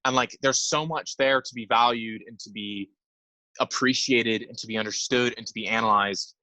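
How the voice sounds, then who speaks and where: A young man co-hosts a casual film podcast, his voice bright and forceful. He talks eagerly, often stumbling and repeating words as he works out his point. He is recorded close on a dry mic with little room sound. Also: medium pitch, moderate pace, no echo, poor audio. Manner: enthusiastic, filler-heavy, repeats words. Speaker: podcast co-host.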